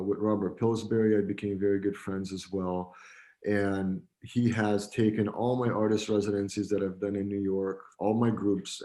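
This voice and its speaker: A man from Romania, talking as a guest in a relaxed, friendly, joking way over a video call. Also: low voice. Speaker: guest, gallery founder. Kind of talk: casual video-call interview.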